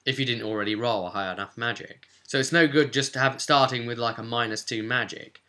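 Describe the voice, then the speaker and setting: Male presenter for a tabletop game guide; bright, punchy voice at medium pitch, conversational with emphatic stresses. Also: a little fast. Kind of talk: explanatory game guide commentary.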